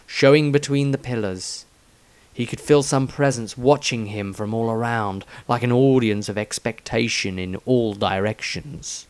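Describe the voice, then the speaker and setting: A man narrates a fantasy tale in a medium-pitched voice. He takes his time, placing phrases deliberately for suspense and drama. The recording is close and dry, with very little room sound. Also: smooth, dry recording. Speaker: author reading own story.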